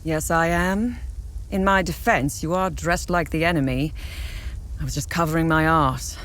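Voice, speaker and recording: An actress playing a weary, injured journalist in a video game, frank and earnest, wary but helpful. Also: female voice, low-pitched, normal speed, a bit bright. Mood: earnest, explanatory. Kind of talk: naturalistic video game dialogue acting.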